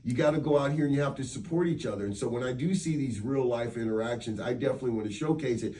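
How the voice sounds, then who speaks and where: A man's voice: medium pitch, quick pace, mellow, recorded from a distance. A man hosting a radio interview in a casual, chummy, rambling conversational way. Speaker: radio show host.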